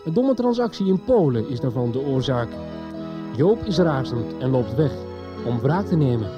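A man's voice: medium pitch, very animated, normal speed, natural voice. A man narrating the recap of a radio soap in a scripted storytelling style, muffled, with music behind him. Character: narrator recapping a radio soap's previous episode. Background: prominent music.

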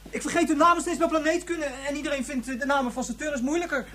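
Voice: high-pitched, racing, a bit bright. A performer playing a whiny, insistent and imaginative young boy in a radio drama, with a childlike voice. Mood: puzzled, insistent. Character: petulant, imaginative young boy, upset about his mother.

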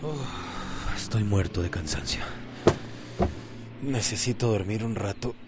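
A man's voice: fairly low pitch, slow. An actor playing a tired, easygoing man with a Costa Rican accent in a radio soap opera. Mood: weary. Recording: loud background noise.

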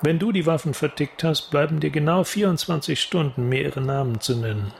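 Hard-nosed detective, slightly high voice. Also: male voice, a little fast, vocal fry, a little hiss or hum. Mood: coldly threatening. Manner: curt, menacing, controlled. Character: hard-nosed, threatening police detective sergeant. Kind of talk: German radio crime drama acting.

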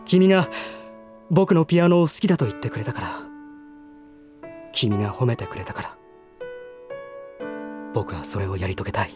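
A male actor playing a refined, sheltered young gentleman in a voice drama, speaking formally and earnestly. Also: mid-range voice, sing-song, normal speed, long pauses, over music, lightly acted. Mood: tender, resolute. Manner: formal, stiff, earnest speech. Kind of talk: Japanese voice drama acting.

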